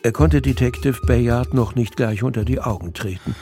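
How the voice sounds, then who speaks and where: A man narrating a scripted audio drama in a measured, dry storytelling manner. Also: medium pitch, mellow. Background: music.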